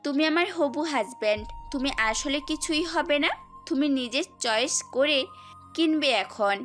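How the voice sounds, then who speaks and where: A woman's voice: high-pitched, normal speed, a bit bright. A woman playing a bossy, teasing young bride-to-be in an audio story, with a demanding, playful manner. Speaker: voice actor in an amateur audio story. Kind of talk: acted audio story dialogue. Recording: background music throughout.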